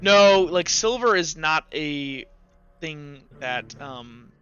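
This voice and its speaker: Male game master, high bright voice, casual and halting, slightly muffled audio with music underneath. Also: expressive. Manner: halting, thinking out loud. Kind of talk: casual tabletop game talk. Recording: slightly dull, music behind. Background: background music.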